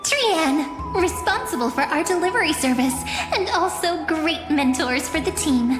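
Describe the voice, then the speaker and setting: A woman's voice: sits high, a bit bright, exaggerated voice. An actress voicing a cheerful young woman who guides and encourages in a video game. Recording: reverberant hall, music behind.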